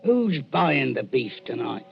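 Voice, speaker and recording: An actor playing a callous, greedy Cockney body-snatcher in a radio thriller, with a gravelly voice. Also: male voice, mid-range voice, very animated, slow pace, full character voice. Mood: callous, scornful. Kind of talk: 1940s radio thriller acting. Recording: muffled vintage radio recording, music behind.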